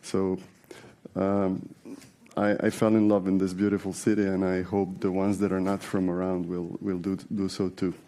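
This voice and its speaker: A man's voice: sits low, little pitch movement, moderate pace, dark tone. A man in his forties from Serbia, giving a conversational conference talk with many fillers and restarts. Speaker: conference speaker. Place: large conference hall.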